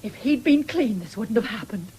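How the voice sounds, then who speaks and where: A woman's voice: fairly high pitch, expressive, moderate pace, warm tone, lightly acted. Woman playing a blunt old country grandmother, swooping voice. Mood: stern, indignant. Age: older. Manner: blunt, reproachful, plain-spoken. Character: stern hard-working old farm mother, caring and outspoken grandmother. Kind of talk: 1970s BBC radio play acting. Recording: noisy old radio broadcast recording.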